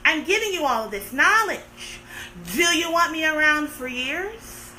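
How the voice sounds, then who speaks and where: Thirty-something woman doing a live stream, high bright voice, slow and drawn out, sarcastic and playful. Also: animated, slight background noise, rough recording. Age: 30s. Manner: playful, sarcastic, theatrical complaining. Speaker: livestream host. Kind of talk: casual live-stream chat with viewers.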